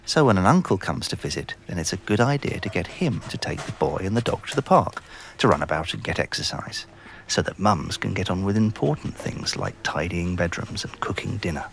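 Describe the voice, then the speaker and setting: A man's voice: sits low, lively intonation, a little fast, gravelly. A man narrating a scripted children's story. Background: music.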